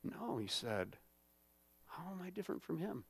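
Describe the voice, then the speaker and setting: A man's voice: fairly high pitch, big pitch swings, normal speed, creaky, fairly bright tone, breathy edge. A man preaching a sermon in a church, talking in a conversational way and often checking in with the congregation. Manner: conversational, many fillers and check-ins. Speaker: pastor.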